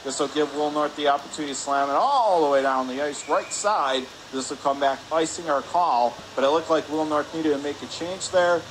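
High-voiced man calling hockey play-by-play, noisy rink. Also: normal speed, hardly any pauses. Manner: clipped running phrases, rising with the action. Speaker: play-by-play announcer. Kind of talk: live ice hockey radio commentary. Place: indoor ice rink stands. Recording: clear rink noise behind.

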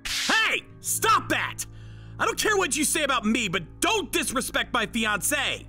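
A man's voice: extremely high pitch, big pitch swings, unhurried, sharp tone, faint music behind, heavily acted. A man playing an earnest, easily flustered young groom who narrates and speaks in a manga dub. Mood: angry.

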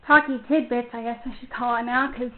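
A woman's voice: on the high side, fairly even intonation, a little fast. A woman hosting a casual solo fan podcast, talking in a gushing, enthusiastic fangirl manner. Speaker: podcast host. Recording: muffled phone-like narrow-band sound.

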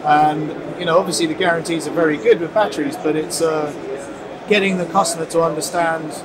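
A man interviewing at a trade show stand in a large exhibition hall, speaking in a relaxed, conversational way. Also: on the high side, fairly quick, noisy background. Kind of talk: casual interview questions.

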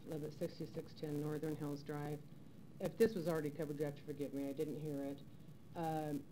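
A middle-aged woman, a resident making a clearly worded public comment at a podium microphone in a council chamber. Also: low-pitched, warm tone, rough recording. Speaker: resident speaking at a public hearing. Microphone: gooseneck podium microphone. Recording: faint background noise, public meeting room.

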